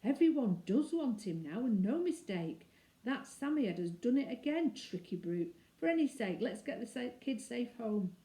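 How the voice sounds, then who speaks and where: A woman plays a bossy Edwardian schoolboy from a children's storybook. He talks in emphatic old-fashioned slang and takes charge. The voice is mellow and the room echoes. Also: fairly high pitch, very animated, normal speed. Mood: relieved, exasperated. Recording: roomy, echoing indoor sound.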